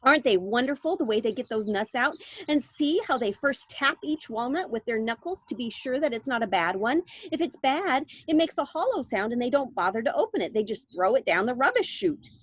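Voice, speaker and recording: A woman's voice: fairly high pitch, sing-song, breathy edge, dry recording. Woman putting on a zippy, showy candy-maker man. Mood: explaining. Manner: brisk, theatrical and bossy.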